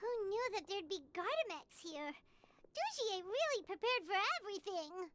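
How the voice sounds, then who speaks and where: A woman voicing an excitable, blunt tiny floating companion in a game who talks about herself in the third person. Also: very high voice, normal speed, a little hiss or hum. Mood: impressed. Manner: speaks of herself in third person, exclaims often. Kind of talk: game voice acting. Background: loud game music.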